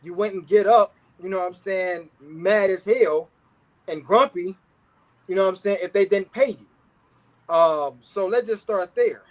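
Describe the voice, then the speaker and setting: High-voiced man hosting a casual livestream. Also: measured pace. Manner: laid-back slang, repeats "you feel what I'm saying". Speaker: talk show host.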